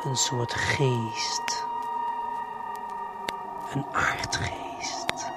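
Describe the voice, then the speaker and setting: A man narrates a surreal audio play straight to the listener, with intimate, deliberate, hypnotic phrasing. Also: mid-range voice, fairly even intonation. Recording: music under the voice. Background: music.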